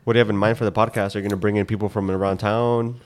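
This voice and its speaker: A man's voice: lowish voice, little pitch movement, very fast. A young man co-hosting a casual podcast chat, sounding stuffed up after nose surgery, laid-back and swearing freely. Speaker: podcast co-host.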